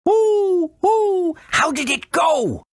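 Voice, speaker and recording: A man voicing a fussy, caring elderly owl with a raspy voice and theatrical delivery in a video game. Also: extremely high pitch, sing-song, very slow, no room sound, clean studio sound, heavily acted. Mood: excited, fussy. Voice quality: raspy, creaky.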